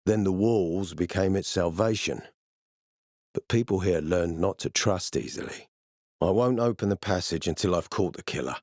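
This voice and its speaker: A male actor playing a stern, ambitious military commander in a video game, speaking in a measured, authoritative and formal way. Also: sits low, darkish tone, no echo, clean studio sound. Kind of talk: video game dialogue acting.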